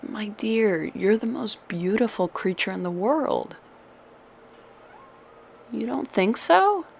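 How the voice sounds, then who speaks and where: A woman's voice: mid-range voice, lively intonation, takes it slowly, vocal fry, a little hiss or hum. A woman playing a tender, doting witch girlfriend who soothes a monster lover with old-fashioned endearments in an ASMR audio roleplay. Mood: tender concern.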